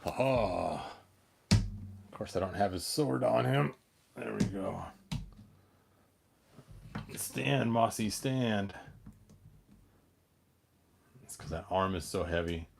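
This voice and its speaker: A man with a somewhat low voice talks at a very unhurried pace. He sounds like a casual hobby host, playful and half muttering to himself. Light background noise sits behind the voice. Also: gravelly. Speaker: toy collector hosting a story-time video. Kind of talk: casual chat while handling action figures.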